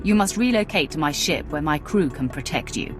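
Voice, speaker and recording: A woman's voice: sits low, expressive, brisk, music in the background. Resolute, cold female Sith warrior. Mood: assured. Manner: terse, formal, determined. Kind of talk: video game cutscene acting.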